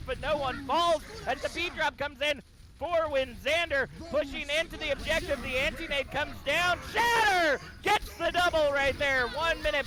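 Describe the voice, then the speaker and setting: A man casting esports play-by-play, calling fights and players in quick succession. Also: extremely high pitch. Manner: rapid play-by-play, rising excitement in team fights. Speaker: play-by-play caster.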